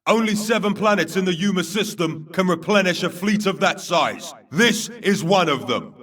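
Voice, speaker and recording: Stern, commanding military admiral. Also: male voice, sits high, sharp tone, roomy sound, far from the mic. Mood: firm, intense. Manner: commanding, clipped, formal orders. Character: stern, authoritative male military vice admiral commanding troops. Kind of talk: video game military briefing voice acting.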